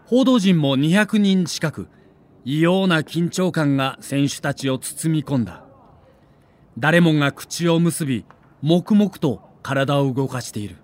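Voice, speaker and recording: A man narrating a scripted documentary in a dramatic, measured storytelling manner. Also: a little high, expressive.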